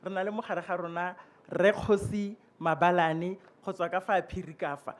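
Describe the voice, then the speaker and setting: A man's voice: high voice, fairly even intonation, moderate pace. A man working as program director at a formal ceremony, introducing speakers and switching between Setswana and English. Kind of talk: ceremony MC announcements.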